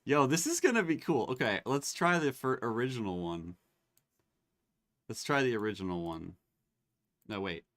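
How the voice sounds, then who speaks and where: A man hosting a live online demo, chatting casually and getting excited as he tests tools. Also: medium pitch, normal speed, pauses often, dry recording. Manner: excitable, laughs and exclaims while testing tools.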